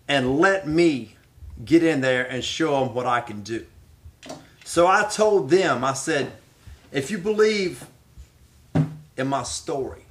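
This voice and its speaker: A man's voice: fairly high pitch, fairly bright tone, slight background noise. A middle-aged man, speaking as a pro wrestler making his case with dramatic, emphatic repetition. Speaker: professional wrestler. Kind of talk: promotional speech answering questions.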